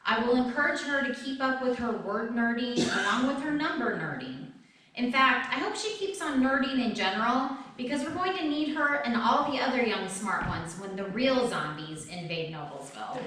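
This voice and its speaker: A woman's voice: fairly high pitch, animated, normal speed, pushed and bright. A woman, middle-aged, reading a personal essay aloud expressively to an audience. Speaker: author reading her essay excerpt aloud. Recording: very echoey room, distant microphone.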